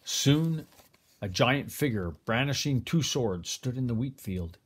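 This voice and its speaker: An older man reads a children's picture book aloud as a grandfather would, with expressive, deliberate phrasing. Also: medium pitch, slightly rough. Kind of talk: storybook read-aloud.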